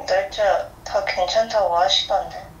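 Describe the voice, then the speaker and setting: A woman's voice: medium pitch, fairly even intonation, a little slow, far from the mic. A woman in her twenties speaking as a client in a consultation, giving brief answers and asking short questions. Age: 20s. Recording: dull lo-fi sound, echoey, faint noise.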